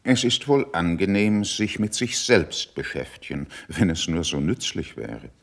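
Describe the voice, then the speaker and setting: An actor playing a cool, shrewd, middle-aged statesman in a classical verse radio play, measured and dryly ironic in his delivery. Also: sits low, very animated, gravelly, full character voice. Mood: cool, didactic. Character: cool, shrewd, condescending older male statesman.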